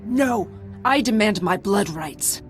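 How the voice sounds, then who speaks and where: An actress voicing a proud, vengeful clan warrior in a video game, speaking in a formal, solemn and intense way. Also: female voice, medium pitch, animated, slow pace, soft background music. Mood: anguished, demanding. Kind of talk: dramatic video game acting.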